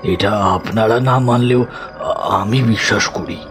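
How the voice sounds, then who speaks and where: Low-voiced, humble actor as village caretaker. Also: male voice, a little slow, natural voice. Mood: earnest, ominous. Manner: humble, respectful. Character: deferential, superstitious village caretaker. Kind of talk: horror audio drama. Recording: loud music over the voice.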